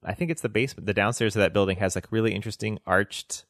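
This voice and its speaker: A man co-hosting a podcast, talking casually and haltingly, with lots of fillers. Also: lowish voice, fairly even intonation, a bit bright, no echo, studio quality. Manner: halting, filler-heavy explaining. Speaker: podcast co-host.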